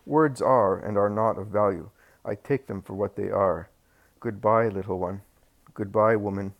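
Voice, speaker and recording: A man voicing a calm, gentle male teacher in a scripture story. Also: fairly low pitch, a little slow, muffled recording.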